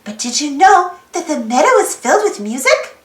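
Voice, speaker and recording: Very high female voice, children's read-aloud, sing-song delivery, hugely varied intonation, roomy echo. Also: normal speed. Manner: expressive, sing-song, with simple questions to young listeners. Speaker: storybook reader.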